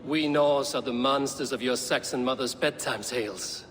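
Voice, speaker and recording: An actor playing a grim, defiant Norse warrior in a video game cutscene, terse, stern and wry. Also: male voice, mid-range voice, normal speed, a bit bright, slight background noise, close to an everyday voice. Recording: music behind the voice.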